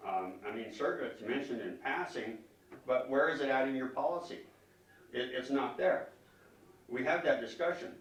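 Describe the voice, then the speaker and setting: Echoey, distant man making emphatic public comment. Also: mid-range voice. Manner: emphatic, halting, repeats words. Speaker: citizen speaking at a county commission meeting. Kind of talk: public comment, argumentative questioning. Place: public meeting room, indoors. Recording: echoey room, distant microphone.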